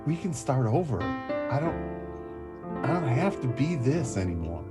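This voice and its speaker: Animated man roleplaying, faint music behind. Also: medium pitch, unhurried. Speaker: tabletop game player.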